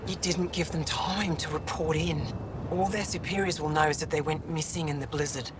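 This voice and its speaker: An actor playing a plucky alien resistance scout in a video game, earnest and rueful in manner. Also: male voice, on the high side, steady tone, moderate pace, close to an everyday voice. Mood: defiant, determined. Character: plucky, self-critical young male alien resistance scout. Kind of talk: scripted video game acting. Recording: loud ambient noise behind dialogue.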